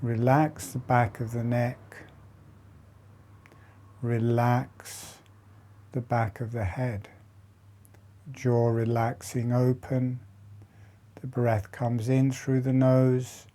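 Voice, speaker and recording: Slow, soft-spoken man guiding meditation. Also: medium pitch, little pitch movement. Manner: slow, soft, halting phrases with long pauses. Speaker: meditation teacher. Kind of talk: guided breathing meditation.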